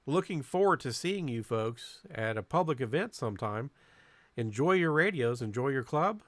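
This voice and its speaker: A man giving a casual, unscripted club video narration as presenter, in a halting way with frequent pauses and repeated words. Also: mid-range voice, expressive, fairly bright tone, no room sound. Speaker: amateur radio club presenter.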